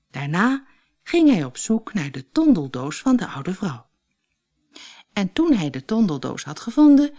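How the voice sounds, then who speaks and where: A woman's voice: mid-range voice, dark tone. A woman reading a fairy tale as an audiobook storyteller, expressively, as if for children. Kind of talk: scripted fairy-tale narration.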